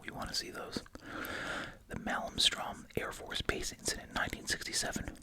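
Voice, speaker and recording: Hushed ASMR whisperer chewing gum. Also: normal speed. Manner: casual, musing, repeats short phrases; chewing gum while talking. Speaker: ASMR video creator. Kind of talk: ASMR whispering, reading case summaries with casual asides.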